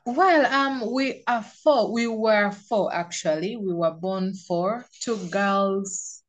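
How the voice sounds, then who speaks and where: A woman's voice: medium pitch, slow. A young woman with a Ugandan English accent, a podcast guest who talks about her life plainly and laughs easily. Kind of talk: casual interview chat.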